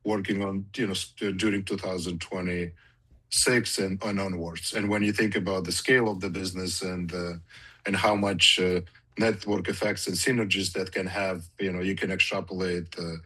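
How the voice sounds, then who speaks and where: A man's voice: low voice, a bit bright, husky. A male company executive giving an unscripted answer on an earnings call, emphatic and repeating himself for weight.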